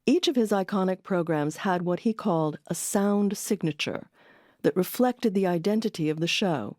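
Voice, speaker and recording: A woman's voice: lowish voice, moderate pace, a little mellow, no echo, clean studio sound. A woman hosting and narrating a documentary from a script.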